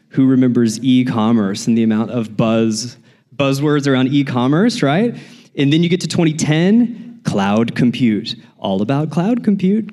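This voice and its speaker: A man's voice: mid-range voice, a little mellow. A man hosting a live podcast on a conference stage, playful and upbeat as he talks to the audience. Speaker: podcast host.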